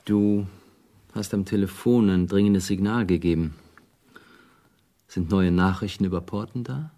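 An actor playing a friendly, businesslike secret-service handler in a radio play. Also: male voice, low-pitched, a little slow, mellow. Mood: cordial, businesslike.

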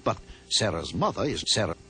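A man's voice: fairly low pitch, sing-song, brisk. A man narrating a children's story, asking the young listener questions in a sing-song way.

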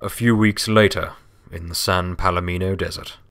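Male narrator for scripted fiction, low pitch, soft music underneath. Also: fairly even intonation, normal speed.

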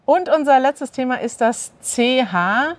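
A woman in her thirties from northern Germany, speaking standard German as host of a language lesson, clear and animated as she prompts her guest to repeat words, recorded outdoors. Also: high voice, unhurried, no room sound. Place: outdoors in a courtyard by an ivy-covered wall.

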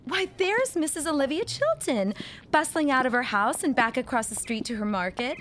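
Woman acting a lively, high-voiced young widow. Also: normal speed, slight background noise. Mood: chatty. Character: restless young widow, genteel, wistful and wry. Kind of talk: period audio drama acting.

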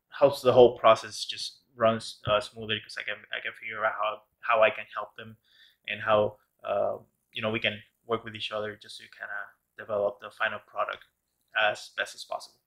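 A man's voice: lowish voice, vocal fry, bright, forceful tone, poor audio. A man casually narrating his art process as host of a tutorial channel, rambling with many fillers and restarts. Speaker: background artist and channel host.

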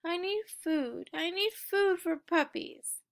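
A woman voicing a hungry, food-loving little female puppy in a storybook, with expressive, emphatic delivery. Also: extremely high pitch, slow, gravelly, pitched above the performer's own voice, heavily acted. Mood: pleading.

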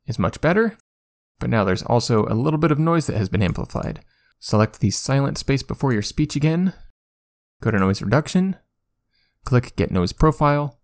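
A man's voice: medium pitch, vocal fry. Self-deprecating man giving a casual tutorial. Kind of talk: casual software screen-recording tutorial.

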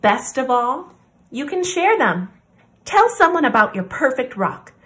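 A woman presenting story time, reading a children's picture book aloud with deliberate, expressive phrasing and pauses. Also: high voice.

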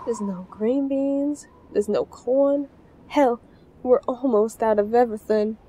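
A woman's voice: a little high, takes it slowly, dark tone, slight background noise. A woman voicing a worried, practical survivor in a comic dub.

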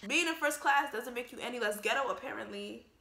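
A woman's voice: fairly high pitch, brisk, vocal fry, reverberant, noisy background. A woman in her twenties giving casual, laughing commentary on a film, full of slangy asides, speaking into a wired earphone mic in a bare room. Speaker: YouTuber reacting to a film.

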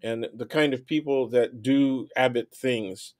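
A man's voice: mid-range voice, measured pace, darkish tone, dry recording. Blunt older American man, dry close sound. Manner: blunt, salty, jokey. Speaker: talk show guest. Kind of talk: casual banter and commentary.

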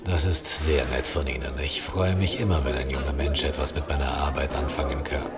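An actor playing a horror author in an audio drama, speaking in a measured, solemn, formal way. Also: male voice, rumbling low, steady tone, over loud music. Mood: formal, polite. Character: horror author, solemn, polite but curt with fans. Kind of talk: dramatic literary reading and radio play dialogue.

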